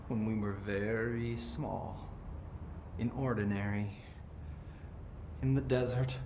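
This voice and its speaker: An actor playing an eerily calm, detached man who speaks slowly and flatly. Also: lowish voice. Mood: bitter. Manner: slow, flat, eerily calm. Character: eerie, detached man, brother held in captivity, possessed. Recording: echoey room with background noise.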